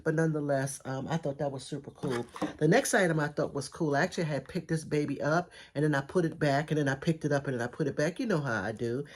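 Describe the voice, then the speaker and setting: A middle-aged woman with a raspy, congested voice, chatting enthusiastically through a thrift haul video. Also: deep voice, fast. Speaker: thrift reseller vlogger. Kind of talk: casual haul video chat.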